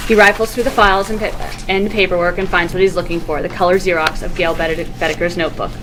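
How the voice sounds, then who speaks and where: A woman's voice: lowish voice, fairly even intonation, fast talker. A woman reading stage directions aloud as scripted narration at a table read. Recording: noisy recording with room ambience.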